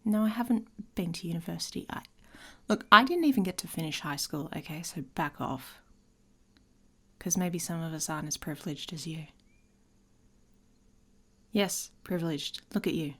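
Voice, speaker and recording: Woman playing a husky, whispery, taunting she-wolf. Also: on the low side, moderate pace, pauses often, pushed and bright, exaggerated voice. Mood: defensive, irritated. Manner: teasing, sardonic, often dropping into whispers. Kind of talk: intimate ASMR roleplay.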